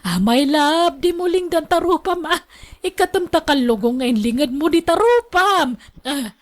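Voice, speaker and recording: Woman acting a high-voiced, excitable Ilocana sweetheart. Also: normal speed. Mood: playful, affectionate. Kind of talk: Ilocano radio drama acting.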